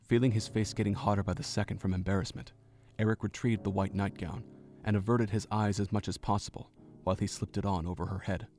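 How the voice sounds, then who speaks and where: A man narrating a fan audio drama in an even, measured reading voice. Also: lowish voice. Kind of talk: scripted story narration. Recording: home narration with faint music.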